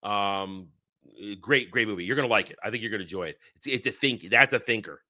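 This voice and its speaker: A man's voice: fairly low pitch, quick pace, sharp tone, no echo, clean studio sound. A man co-hosting a casual movie review show, talking in a loose, rambling way with frequent restarts and fillers. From Northeastern United States.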